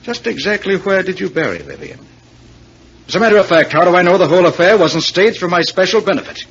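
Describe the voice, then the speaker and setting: A man's voice: high voice, big pitch swings, fast, fairly bright tone, breathy edge, heavily acted. An actor playing a haunted, suspicious rich man, brooding and accusing, in 1940s radio mystery style. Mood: grimly insistent. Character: haunted, suspicious wealthy man turning insistent. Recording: dull, hissy old radio recording.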